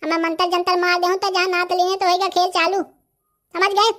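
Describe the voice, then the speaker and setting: A man voicing a bossy, sly village holy man in a comedy cartoon, with a Bundelkhandi accent and artificially raised pitch. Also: little pitch movement, brisk, bright, forceful tone. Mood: bossy, smug. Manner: pompous, instructing, brusque. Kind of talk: rustic comedy cartoon dialogue.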